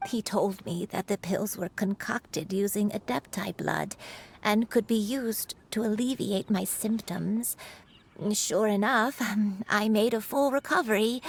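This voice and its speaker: A woman voicing a frail, gentle old grandmother who talks slowly and hesitantly, apologetic and sorrowful, in a game cutscene. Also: mid-range voice, vocal fry, husky. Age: older.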